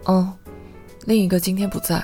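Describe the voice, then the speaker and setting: A woman's voice: flat delivery, normal speed, dark tone, natural voice. A woman voicing a cool, aloof and commanding female bar owner who talks in curt, terse lines. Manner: curt, terse, low-key. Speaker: bartender. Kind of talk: amateur audio drama acting. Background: background music.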